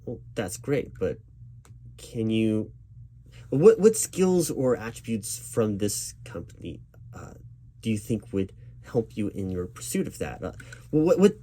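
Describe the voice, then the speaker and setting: Mellow, soothing man as job interviewer. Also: fairly low pitch, sing-song, unhurried. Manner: reassuring, patient, encouraging. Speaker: ASMR roleplayer playing a recruiting manager. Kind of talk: loud-spoken ASMR interview roleplay. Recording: background noise with keyboard typing.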